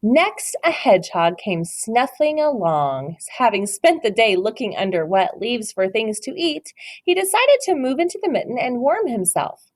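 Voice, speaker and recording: A woman's voice: on the high side, very animated, moderate pace. A woman teacher reading a picture book aloud to children, encouraging them and asking questions about the pictures.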